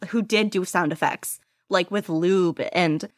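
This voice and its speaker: A woman's voice: fairly low pitch, a little slow. A woman guest chatting casually in an interview, rambling with lots of fillers and frequent giggles. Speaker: podfic reader and guest.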